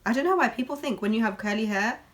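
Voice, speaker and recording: A woman's voice: on the high side, animated, fast talker, a bit bright. Chatty young woman vlogging. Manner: chatty and candid, lots of fillers. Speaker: beauty vlogger. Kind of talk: casual get-ready-with-me chat.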